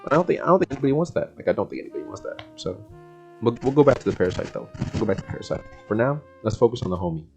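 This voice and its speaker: Very low-voiced young American man, casual and slangy. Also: big pitch swings, very fast, over music. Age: young adult. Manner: slangy, laid-back, rambling, often chuckling. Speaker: vlogger. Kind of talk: casual self-help monologue.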